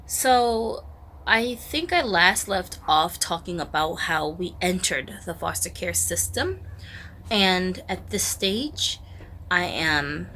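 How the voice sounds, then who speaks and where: A woman's voice: medium pitch, bright, forceful tone, a little hiss or hum, poor audio. A woman from Korea whose first language is Korean, telling her life story in a candid, chatty vlog with many fillers. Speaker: vlogger.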